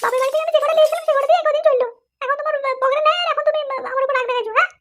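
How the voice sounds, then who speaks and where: A woman's voice: very high voice, rapid-fire. A woman voicing an aggrieved, nagging young cartoon wife who whines and argues. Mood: angry nagging. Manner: whining, argumentative. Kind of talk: comic cartoon dialogue.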